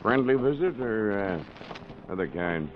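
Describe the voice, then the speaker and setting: A man's voice: fairly low pitch, expressive, measured pace, a little hiss or hum. An actor playing a garrulous, nosy old seafaring man with folksy, nautical talk in a 1940s radio drama. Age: older. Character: garrulous, nosy old seafaring waterfront boatman, expatriate American. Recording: dull vintage radio recording, music behind.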